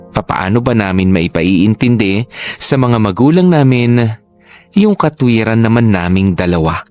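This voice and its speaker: A man's voice: lowish voice, a little mellow. A man giving scripted, sentimental dramatic narration as the letter reader in a Filipino radio drama.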